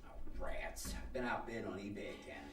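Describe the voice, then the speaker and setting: A man's voice: on the low side, animated, normal speed, fairly bright tone, soft background music. A man playing a folksy older husband in a radio comedy, dry, teasing and a little grumbly. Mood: grumbling. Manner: dry, joking, casual. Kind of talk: old-time radio comedy acting before an audience. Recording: roomy live stage, distant mic, audience.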